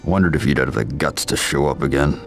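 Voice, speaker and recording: An actor playing a merciless vigilante killer in a video game, terse and deadpan. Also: male voice, extremely low pitch, brisk, music in the background. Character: grim, merciless vigilante killer, tough middle-aged man. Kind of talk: video game cutscene and voice-over acting.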